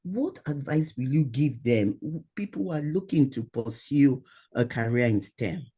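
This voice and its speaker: A man hosting a conversational interview, speaking earnestly and haltingly, often repeating his phrases. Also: mid-range voice, animated, warm tone, slightly rough. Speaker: interview host.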